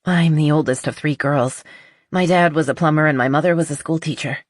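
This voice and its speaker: An audiobook narrator voicing a nervous, earnest middle-aged woman confessing, with a halting, shaky delivery. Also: mid-range voice, no room sound, studio quality, close to an everyday voice. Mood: regretful.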